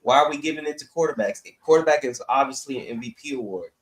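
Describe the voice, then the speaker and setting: A man's voice: medium pitch, fast, poor audio. A man co-hosting a sports podcast, giving casual, opinionated takes emphatically and arguing through rhetorical questions.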